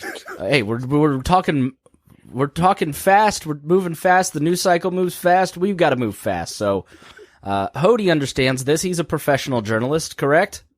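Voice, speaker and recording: A man hosting a live-stream podcast, hoarse from laryngitis, rambling casually with plenty of fillers. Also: a little high, lively intonation, moderate pace, dry recording, studio quality. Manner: rambling, jokey, many fillers. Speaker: podcast host.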